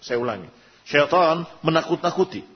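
A somewhat high-pitched man with an Indonesian accent delivers an Islamic sermon. He is didactic, setting out scripture and its explanation in short, measured phrases. The recording is a little muffled and lo-fi. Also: animated. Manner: didactic, reciting quotations then translating and repeating in short measured phrases. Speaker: Islamic preacher. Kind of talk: sermon explaining an Arabic religious text line by line. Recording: dull, lo-fi sound.

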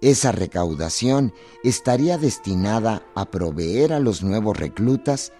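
A man's voice: on the low side, expressive, unhurried, dark tone. A man narrating history from a script for a radio drama. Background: string music.

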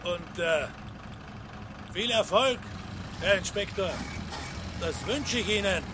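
High-voiced pompous major. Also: male voice, slow, noisy background. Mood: politely uneasy. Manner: polite, insistent, pompous. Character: politely prying, self-important male village major. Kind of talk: radio drama acting.

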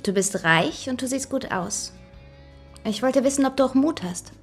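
A woman's voice: sits high, moderate pace, faint music behind. Teasing bold young woman. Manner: playful, teasing, coaxing. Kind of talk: radio drama acting.

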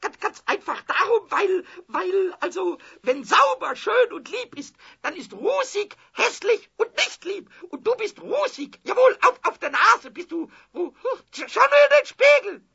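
A man voicing a cheeky, whiny, childlike kobold in a 1970s children's radio play, squeaky and excitable, stammering out repeated words. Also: measured pace, hardly any pauses, full character voice. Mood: defiant. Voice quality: squeaky, creaky. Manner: stammering repetition of words, defiant. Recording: dull vintage radio play recording.

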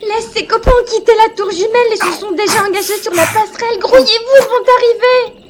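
An actress playing a spirited young woman who speaks in urgent, sharp exclamations. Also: extremely high pitch, steady tone, fairly quick. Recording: music score playing underneath.

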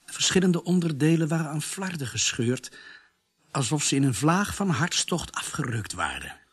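An actor narrating a macabre radio-play thriller in a grave, dramatic, husky voice. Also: male voice, on the high side, very animated, normal speed. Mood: grave, deliberate.

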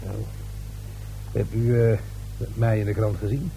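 Halting, mellow-voiced caretaker. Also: male voice, lowish voice, fairly even intonation, vocal fry, a little hiss or hum, poor audio. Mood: eager, boastful. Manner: halting, deferential. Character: chatty, self-important building caretaker, hesitant and deferential. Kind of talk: radio play acting.

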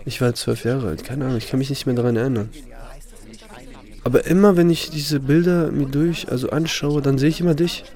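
An actor playing a hurt, insistent younger man in a naturalistic radio drama, speaking in a halting, repetitive, pleading way. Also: medium pitch, darkish tone, lightly acted. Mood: accusing, agitated. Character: wounded, insistent younger man confronting an older man.

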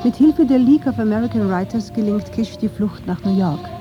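A woman's voice: mid-range voice, brisk, highs cut off, over music. A woman reading scripted narration for a radio documentary.

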